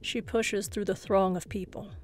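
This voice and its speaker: A woman's voice: medium pitch, fairly even intonation, moderate pace. Woman narrating horror over faint music. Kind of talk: suspenseful scripted horror narration.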